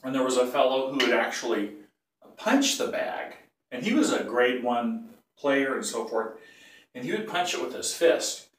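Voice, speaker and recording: An older man with a cold teaches bagpipe technique in a casual, rambling way. He keeps clearing his throat and stops to correct himself. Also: mid-range voice, very animated, normal speed, slight background noise. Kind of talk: casual tutorial. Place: small tiled bathroom. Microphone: phone or camera some feet away. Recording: echoey bathroom, voice from a distance.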